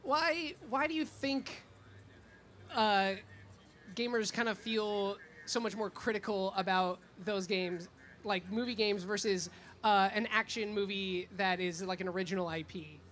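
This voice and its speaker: A woman's voice: mid-range voice, measured pace, a bit bright. A woman hosting a live panel in a casual, chatty, slangy way. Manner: chatty, informal, frequent slangy "like". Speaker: panel host. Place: live convention stage. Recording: noisy live event room.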